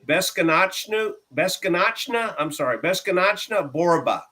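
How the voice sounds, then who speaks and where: A man's voice: sits high, expressive, moderate pace, a crowd in the background. A man in a casual chat, patiently explaining phrases and spelling words out letter by letter.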